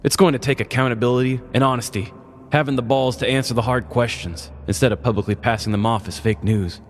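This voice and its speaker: A man's voice: medium pitch, fairly even intonation, fast, long reverb tail, voice pushed up, lightly acted. A man playing a jaded, skeptical, lazy police officer in a comedic story, blunt and dismissive. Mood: argumentative. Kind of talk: comedic podcast story acting.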